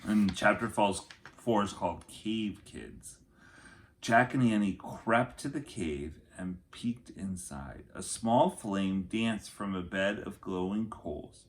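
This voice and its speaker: A man reading a children's chapter book aloud as an expressive storyteller, with deliberate, dramatic phrasing. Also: fairly low pitch.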